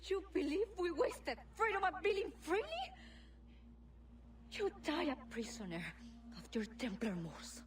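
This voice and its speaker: A woman's voice: high voice, big pitch swings, a little slow, slight background noise. Scornful dying woman. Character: dying woman, bitter enemy.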